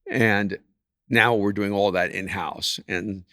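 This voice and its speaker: A man in his sixties, an author talking on a podcast, speaking in a measured, reflective way. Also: on the low side, fairly even intonation, bright, forceful tone, dry recording, studio quality. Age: 60s. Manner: measured, reflective, laughs easily. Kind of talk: casual podcast chat.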